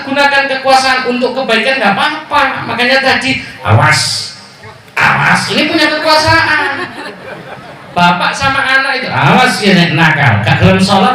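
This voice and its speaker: Animated preacher with a Javanese accent. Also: male voice. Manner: animated, swings between loud calls and low asides, mixes in jokes. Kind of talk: sermon at a village religious gathering. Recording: echoey PA sound from far off.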